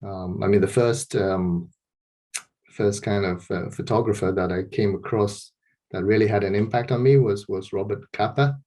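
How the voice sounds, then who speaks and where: Middle-aged man from Malaysia, lowish voice, hesitant guest on a video call. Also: normal speed. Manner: hesitant, many restarts and repeated words, soft laughs. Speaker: interview guest, street photographer. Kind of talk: casual interview over video call.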